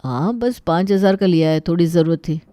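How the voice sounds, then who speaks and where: A woman's voice: on the low side, fairly quick, mellow, dry recording, studio quality, close to an everyday voice. A woman voicing a casual, dismissive young husband in a story. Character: dismissive young husband brushing off his wife.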